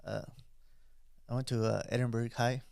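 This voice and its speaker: A man's voice: mid-range voice, level tone, normal speed, creaky, a little mellow, no room sound, studio quality. A man in his forties from South Texas, a veteran guest answering in an interview, plainspoken and hesitant. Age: 40s. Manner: plainspoken, hesitant, many fillers. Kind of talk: casual interview.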